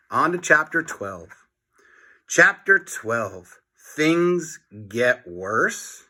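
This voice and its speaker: A man reading a children's book aloud in an expressive, dramatic narration, with asides to young listeners. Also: mid-range voice.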